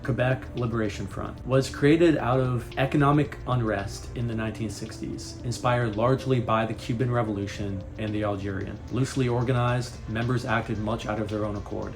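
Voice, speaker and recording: The man you'd hear guiding viewers around town in a homemade travel video, joking deadpan over a music bed. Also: mid-range voice. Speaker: vlogger, narrator. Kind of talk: casual vlog chat and explanatory narration.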